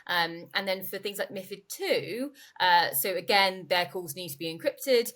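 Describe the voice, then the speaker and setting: A woman's voice: medium pitch, normal speed, fairly bright tone. A woman being interviewed as a company expert, explaining things informally in a conversational way and rephrasing as she goes. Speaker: interviewee, company growth director.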